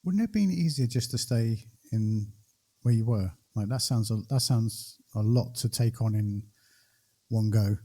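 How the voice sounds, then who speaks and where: A man's voice: on the low side, a little slow, warm tone. Playful, teasing male podcast host. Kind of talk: casual interview chat.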